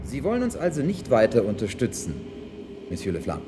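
A man acting in a German radio play as a suave, coldly menacing government official with a formal, smooth manner. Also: medium pitch, wildly swooping pitch, fairly quick. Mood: firm, polite. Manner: formal, smooth, veiled threats. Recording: noisy archival radio drama recording.

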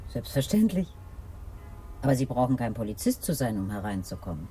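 A woman's voice: rumbling low, big pitch swings, brisk, warm tone, slightly rough, soft background music, heavily acted, low-quality recording. An actress playing a tall, seductive, imperious Russian woman who is teasing and blunt in a radio play. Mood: inviting, self-assured. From Russia (the figure only). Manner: teasing, commanding, flirtatious. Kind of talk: radio drama acting.

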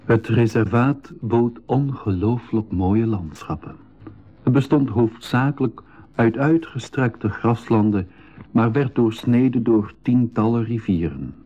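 A man's voice: medium pitch, unhurried, dark tone, natural voice. A man narrating a scripted adventure story for school radio, on a slightly dull 1980s recording.

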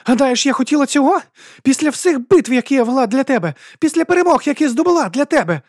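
Man acting a furious vengeful woman, high and strained. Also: lively intonation, fast, dry recording, clean studio sound. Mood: outraged, screaming. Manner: shouting, strained, near screeching. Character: furious vengeful mercenary woman, defiant and bitter.

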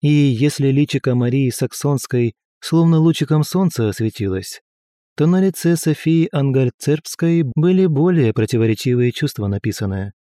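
A man reading historical fiction aloud in an even, measured narration. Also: mid-range voice, no echo, clean studio sound. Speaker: audiobook narrator.

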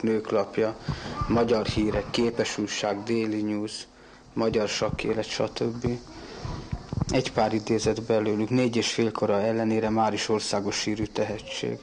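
Man, father in old radio interview, gravelly, flat delivery, faint hiss behind. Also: on the low side. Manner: deliberate, formal phrasing. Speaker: father of the girl. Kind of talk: interviewed parent on archival radio.

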